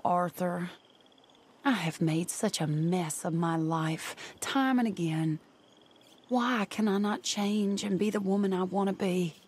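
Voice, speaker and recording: A wistful letter writer. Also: female voice, fairly low pitch, a little mellow. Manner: wistful, pleading. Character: young woman writing a heartfelt letter to an old love. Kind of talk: letter read in voice-over.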